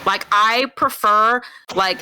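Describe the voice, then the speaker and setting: A woman's voice: medium pitch, takes it slowly, pushed and bright, a little hiss or hum, poor audio. A woman on a casual podcast who gives her opinions bluntly and matter-of-factly. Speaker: podcast co-host. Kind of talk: casual chat.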